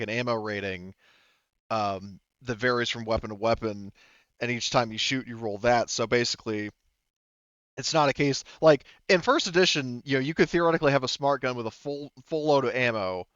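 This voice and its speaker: A man with a smooth, clean voice runs a tabletop game, talking through rules and combat. He rambles in broken-off phrases, and the recording is dry and a little dull. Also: medium pitch, a bit bright, dry recording. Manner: rambling, talks in broken-off phrases with frequent 'like' and 'you know'. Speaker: game master.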